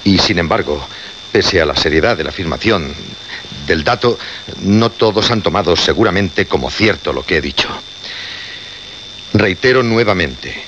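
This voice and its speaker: Deep, grave radio occult host. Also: male voice, a bit bright. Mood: solemn, urgent. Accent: Castilian Spanish. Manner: solemn, emphatic, deliberate phrasing. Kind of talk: radio drama acting, solemn announcing. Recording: noisy, dull old radio recording.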